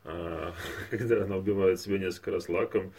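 A man's voice: low voice, fairly even intonation, a little fast, darkish tone, breathy edge. A man co-hosting a podcast in casual discussion, hesitant and searching for words as he talks. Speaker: podcast co-host. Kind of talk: casual discussion of animated films.

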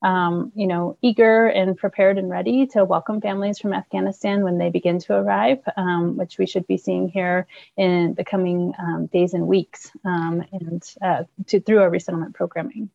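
Hesitant woman with a low gravelly voice. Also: little pitch movement, normal speed, darkish tone, dry recording, lo-fi sound. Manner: hesitant, many ums and restarts. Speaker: refugee resettlement staff member. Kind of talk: news interview.

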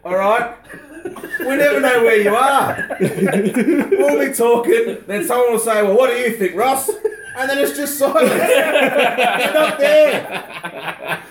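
A high-voiced man co-hosting a rowdy podcast, scolding a mate in mock-stern tones while he laughs. His voice rings in a roomy studio. Friends laugh loudly behind him. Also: expressive, takes it slowly, long pauses, a crowd in the background. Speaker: podcast co-host. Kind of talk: casual banter.

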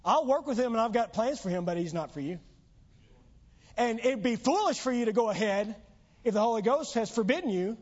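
Preacher, male, voice going hoarse, pitched high, earnest emphatic delivery, muffled lo-fi church recording. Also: animated, brisk, darkish tone. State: losing his voice. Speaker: pastor. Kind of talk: sermon. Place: church sanctuary.

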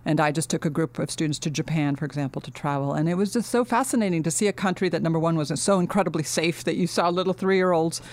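Earnest middle-aged woman, halting and thoughtful. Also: sits low, darkish tone, no echo. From Finland. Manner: thoughtful, earnest, many fillers and restarts. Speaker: guest, architecture dean. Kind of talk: casual interview talk.

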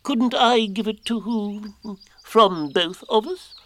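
A man voicing a self-important owl in a children's storybook, speaking in a deliberate, halting way with some anxiety beneath it. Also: high-pitched, fairly bright tone, pitched above the performer's own voice. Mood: anxious, hesitant.

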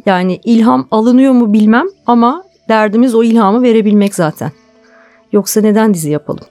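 High-voiced woman explaining in an interview. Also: brisk, mellow, faint music behind. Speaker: interview guest, television drama producer. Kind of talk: conversational radio interview, reflective explaining.

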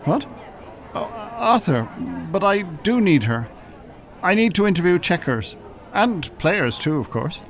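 An actor in an audio drama playing a polite, formal Irish con artist posing as a professor. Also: male voice, high voice, very animated, a little slow, a faint crowd, exaggerated voice. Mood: politely eager.

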